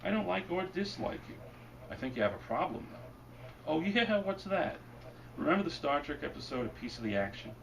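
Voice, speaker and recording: A man reading his fiction aloud at a bookstore event, deadpan and wry, and losing his voice. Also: on the high side, normal speed, vocal fry. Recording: distant mic, noisy room. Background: audience laughter.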